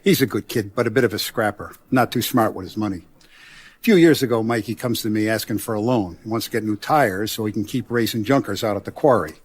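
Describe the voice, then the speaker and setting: A man voicing a middle-aged mob boss in a video game, paternal and proud, speaking deliberately and with authority in streetwise gangster talk. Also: on the low side, husky. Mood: businesslike, confiding. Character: commanding, paternal, proud mob boss, principled yet ruthless. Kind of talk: dramatic video game voice acting.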